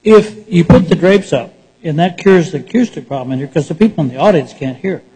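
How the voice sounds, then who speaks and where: Husky man asking blunt questions. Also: a little high, lively intonation, a little fast, dark tone. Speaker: council member. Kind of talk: questioning at a council meeting. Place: council chamber. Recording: reverberant hall.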